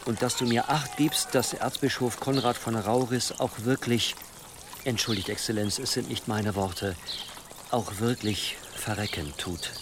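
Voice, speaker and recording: A man's voice: medium pitch, steady tone, a little slow, noisy background, lightly acted. An actor playing a loyal medieval envoy reporting formally and insistently to his lord in a radio drama. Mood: earnest, deferential.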